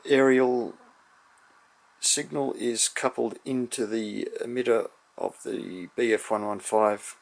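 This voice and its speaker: A man giving an unscripted technical demonstration, explaining in a measured, somewhat hesitant way. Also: medium pitch, fairly even intonation, creaky, poor audio. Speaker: electronics hobbyist presenter.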